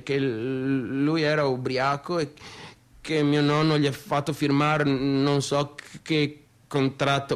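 An actor with a northeastern Italian Veneto accent playing a bitter, rambling son of a small-town businessman, in a halting, colloquial theatrical monologue. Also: fairly high pitch, close to an everyday voice. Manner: halting, repetitive, confiding, conversational. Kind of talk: theatrical monologue in colloquial dialect-tinged speech.

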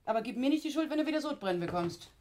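Actress as a flustered, high-voiced mother. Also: lively intonation, rapid-fire, background noise, close to an everyday voice. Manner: fussing, harried, distracted. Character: flustered middle-aged mother making the family breakfast. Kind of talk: naturalistic German radio play acting.

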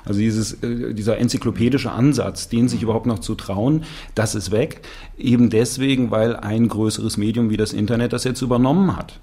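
A man with a low, mellow and husky voice reflects on his craft as a radio author, in interview style. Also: fairly even intonation, brisk. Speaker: radio author working with sound.

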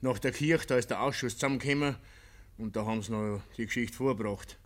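A man's voice: medium pitch, quick pace, close to an everyday voice. Village neighbour , male actor: Bavarian dialect radio drama, reluctant, conciliatory, apologetic, mid-range voice. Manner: hesitant, conciliatory.

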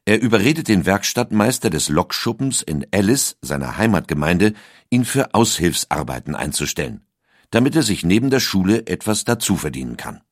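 Deep-voiced male documentary narrator, dry. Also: sing-song, normal speed, no room sound.

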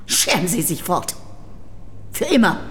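An actress playing a curt, possessive older wife of a famous poet in a German radio drama, speaking in a clipped, dry, formal way. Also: female voice, on the low side, big pitch swings, measured pace, a little hiss or hum. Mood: angry dismissal.

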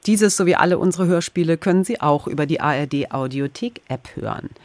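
A woman's voice: deep voice, fairly quick, a little mellow, dry recording, clean studio sound. A woman presenting on the radio as host and interviewer.

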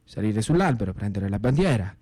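A man's voice: fairly low pitch, sing-song, brisk, dark tone, dry recording, clean studio sound. A man with a Roman Italian accent performing a dramatic first-person wartime monologue in short, colloquial, theatrical phrases. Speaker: stage actor and storyteller.

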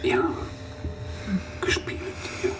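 An actor playing a defensive, evasive East German informer in a radio drama, halting and self-justifying in a breathy half-whisper. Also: male voice, very noisy. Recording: distant, under loud music.

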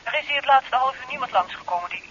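Excitable porter on the phone, really high sharp voice, hissy old radio drama. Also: male voice, fast talker, full character voice. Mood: offhand. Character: busy, unhelpful male hospital porter. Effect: telephone line. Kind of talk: 1970s Dutch radio drama acting.

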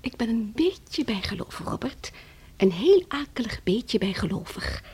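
High, husky, swooping defiant wife. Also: female voice, big pitch swings, moderate pace, a little mellow, heavily acted. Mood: hushed menace. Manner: theatrical, scornful. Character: defiant, mocking wife sparring with her husband. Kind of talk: Dutch radio drama acting.

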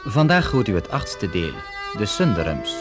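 A man's voice: lowish voice, sing-song, normal speed. A man announcing a radio drama serial in a formal, scripted style. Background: loud orchestral music with strings and winds.

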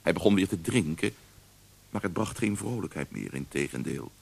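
A man narrating a radio drama as a storyteller, measured and expressive in a scripted literary style. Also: sits low, creaky, breathy edge.

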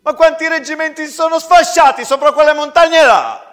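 Man voicing an angry, high-strung soldier. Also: moderate pace, fairly bright tone, big-room sound. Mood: angry, desperate. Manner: insistent, repeating, heated. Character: angry, agitated soldier protesting a hopeless attack.

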